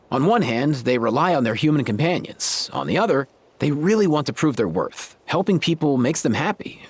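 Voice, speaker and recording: Chill young beast-tamer, slangy, lively intonation, game cutscene. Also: male voice, mid-range voice, breathy edge. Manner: laid-back, slangy.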